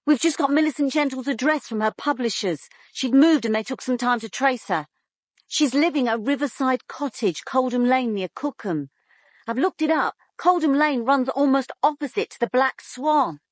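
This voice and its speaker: A keen police inspector in a radio drama, with a fairly high voice in a close, dry sound. She is businesslike and deferential. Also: expressive, normal speed, dry recording. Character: keen, respectful female police inspector reporting to her superior. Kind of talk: British radio drama acting.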